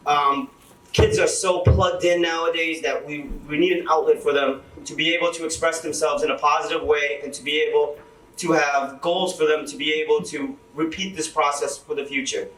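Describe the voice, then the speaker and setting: A man's voice: fairly high pitch, fairly even intonation, normal speed, fairly bright tone. A young man, a teacher, giving a chatty, laughing talk to an audience at a launch event. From Lowell, Massachusetts. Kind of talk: casual speech to an audience. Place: event hall. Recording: echoey hall from far off.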